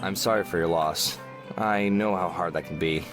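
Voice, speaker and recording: A man's voice: deep voice, normal speed, music in the background, lightly acted. A man playing a kindly, easygoing, slightly weary older brother with a folksy manner in a visual novel. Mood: sympathetic.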